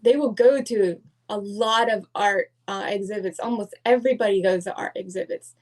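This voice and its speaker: A woman's voice: mid-range voice, normal speed, darkish tone. A woman, a guest artist, telling stories with animation in a casual podcast chat, with many fillers.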